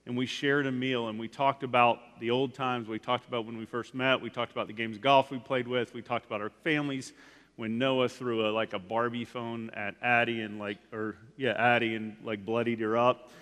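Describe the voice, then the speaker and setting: A man's voice: mid-range voice, flat delivery, fairly quick, fairly bright tone. A man in his forties, a guest speaker giving a casual, rambling sermon in a church, full of "like" and restarts. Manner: rambling, frequent "like" fillers and restarts. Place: church sanctuary. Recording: long hall reverb.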